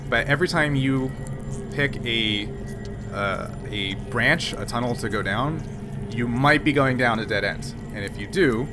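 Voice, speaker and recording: A man running a tabletop game as game master, narrating the scene in a halting way with many fillers. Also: mid-range voice.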